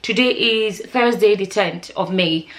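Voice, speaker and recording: A woman's voice: medium pitch, a little slow, fairly bright tone. A woman, talking straight to camera in a casual vlog, earnest and repeating herself for emphasis. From Nigeria. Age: 40s. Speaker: vlogger.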